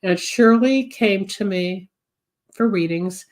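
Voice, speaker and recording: A woman's voice: medium pitch, takes it slowly, a little mellow. A woman in her seventies hosting a psychic advice show, talking at length and rambling from topic to topic, congested and getting over a cold. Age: 70s. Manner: chatty, rambling, switches topics, gives advice. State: recovering from a cold, coughing. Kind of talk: solo live show monologue, reading viewer comments.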